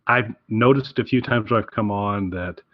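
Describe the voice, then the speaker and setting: A man with a fairly low voice talks casually as a podcast co-host. He rambles along with easy enthusiasm. The sound is very dry and a little lo-fi. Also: moderate pace, no echo. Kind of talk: casual game recommendation chat.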